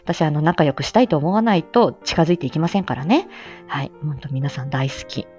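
A woman's voice: low voice, expressive, a little fast, darkish tone, faint music behind. A woman hosting a casual livestream, talking in a humble, effusive and playfully feisty way. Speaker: livestream host, amateur voice actor.

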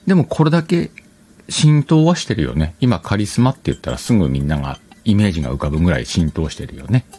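A man's voice: low voice, very animated, fast, warm tone, slightly rough. A man hosting a casual advice talk, explaining conversationally and questioning his own phrasing as he thinks aloud. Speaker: podcast host.

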